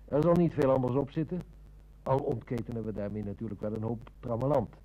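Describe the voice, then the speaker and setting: Acteur als donker klinkende, vastberaden politie-inspecteur. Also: male voice, medium pitch, steady tone, brisk, dark tone, natural voice. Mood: determined. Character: shrewd, determined male police inspector. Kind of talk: Dutch radio drama acting. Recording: noisy old radio recording with hum.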